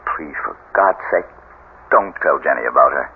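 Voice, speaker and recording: An actor playing a brooding, commanding husband with a secret, in the style of a 1970s radio drama. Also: male voice, rumbling low, lively intonation, normal speed, gravelly, full character voice. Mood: troubled, desperate. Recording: tinny, band-limited old radio with faint hiss.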